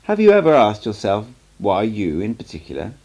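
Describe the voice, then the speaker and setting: A man voicing a stern, cryptic old sorcerer teacher in an audiobook, lecturing in a measured, emphatic way. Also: lowish voice, huge pitch swings, darkish tone. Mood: solemn, emphatic. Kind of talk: amateur audiobook character reading.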